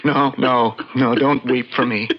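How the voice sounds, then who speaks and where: An actor playing an anguished, guilt-stricken colonial man in a radio drama, halting and repeating himself melodramatically. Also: medium pitch, steady tone, natural voice. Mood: despairing, resigned. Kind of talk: old-time radio drama acting. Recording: dull vintage radio recording.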